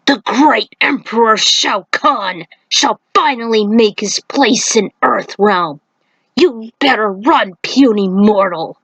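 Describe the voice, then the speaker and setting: A haughty centaur warrior, as a woman narrator puts him on, speaks slowly and pompously. He threatens like a servant of a dark emperor in a fantasy story, in a dry, close sound. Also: on the high side, slightly rough, dry recording, exaggerated voice, low-quality recording.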